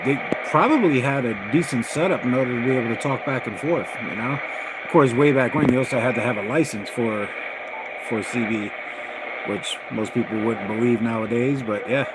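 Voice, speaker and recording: A man hosting a casual radio hobby livestream, talking in a halting, rambling, folksy way. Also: medium pitch. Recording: loud radio static and noise. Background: noisy shortwave radio signal.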